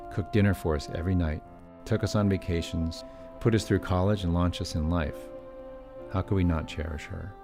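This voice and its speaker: A middle-aged man from California narrating his own family story in scripted documentary style, with wry, self-deprecating asides. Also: low voice.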